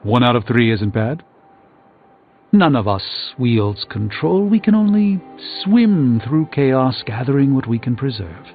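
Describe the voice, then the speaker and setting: A proud, middle-aged video game sorcerer, weary and eloquent. His phrasing is measured and formal with a dry wit, in a mid-range voice. The sound is slightly muffled, with music underneath. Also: medium pitch, big pitch swings. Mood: troubled, reflective. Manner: measured, formal, erudite, dryly wry. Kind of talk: theatrical video game character dialogue.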